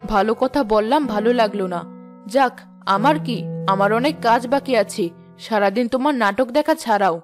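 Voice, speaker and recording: A woman playing a sharp-tongued village housewife in a cartoon fairy tale, teasing and sarcastic. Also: a little high, brisk, natural voice. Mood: grudging, chiding. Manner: sarcastic, taunting. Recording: music and birdsong behind the voice.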